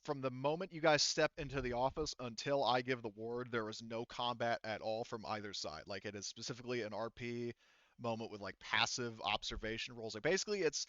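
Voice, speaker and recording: A man narrating a tabletop roleplaying game as game master, explaining things in a halting way. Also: medium pitch, a bit bright, dry recording, muffled recording.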